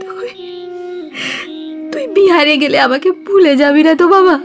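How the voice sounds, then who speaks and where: A woman's voice: very high voice, measured pace, soft background music. A woman playing a poor, loving, anxious village mother in an audio drama, speaking in a tender, hesitant way. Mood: tearful, anxious. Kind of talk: audio story acting in Bengali radio drama.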